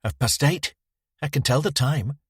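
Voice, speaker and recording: A man's voice: a little high, moderate pace, fairly bright tone, husky, no echo, studio quality, pitched above the performer's own voice. A man reading aloud, voicing a prim, matter-of-fact little girl of nearly ten.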